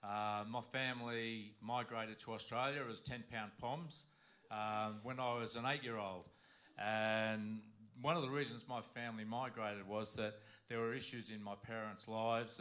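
A man's voice: lowish voice, a bit bright. A man from Wales, sharing his personal story as a guest at a church service, plainly and matter-of-factly. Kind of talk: personal testimony.

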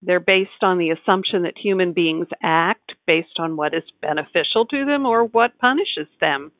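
A woman with a slightly low voice explains things as an interview guest. She is articulate and measured, and the recording is narrow and phone-like, with very little room sound. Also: dry recording. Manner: articulate, measured storytelling in full sentences. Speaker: tax advisor, interview guest. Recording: tinny phone-like line, dry.

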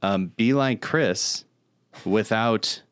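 A man's voice: fairly low pitch, very slow, smooth, dry recording, clean studio sound. A man co-hosting a podcast, chatting casually and critiquing with emphatic, mock exasperation. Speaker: podcast co-host. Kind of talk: casual chat about video game movies.

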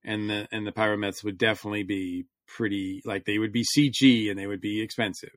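Bright-voiced man chatting casually. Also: lowish voice, steady tone, brisk, no room sound, studio quality. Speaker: podcast host reviewing comics. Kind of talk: casual chat.

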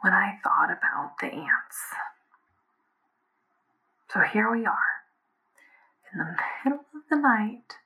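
An actress playing an anxious, haunted young woman confiding in a diary in halting whispers, in an audio drama. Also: mid-range voice, expressive, full character voice, low-quality recording. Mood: hushed, uneasy. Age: young adult. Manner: halting, hushed, breathy whispering. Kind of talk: found-footage audio drama monologue.

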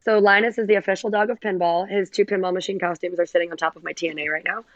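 A raspy-voiced woman, a podcast guest chatting casually, slightly hoarse. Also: fairly low pitch, fairly even intonation, racing, no echo, dull audio. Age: 30s. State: recovering from covid, hoarse. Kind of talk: casual chat.

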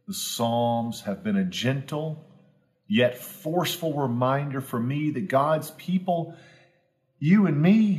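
A man's voice: mid-range voice, a little mellow. A man, a pastor giving an earnest, homespun devotional talk that builds to emphatic repetition. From Southwest Virginia, US. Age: 40s.

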